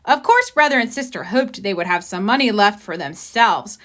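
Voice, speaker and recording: A woman's voice: mid-range voice, expressive, moderate pace, fairly bright tone. A woman reading a children's picture book aloud as a storybook narrator. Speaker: storybook reader.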